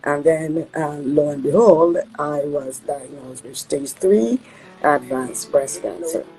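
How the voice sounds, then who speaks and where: A woman's voice: low-pitched, slow, mellow, slightly rough, soft background music, rough recording. A woman with a Barbadian accent, a nonprofit co-founder, sharing a personal testimony in an earnest, reflective way with some hesitant fillers.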